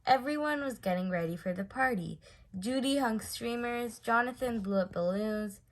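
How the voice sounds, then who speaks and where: A woman reading a children's story aloud in an expressive way, stressing words for effect. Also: on the high side, moderate pace, poor audio. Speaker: storybook reader.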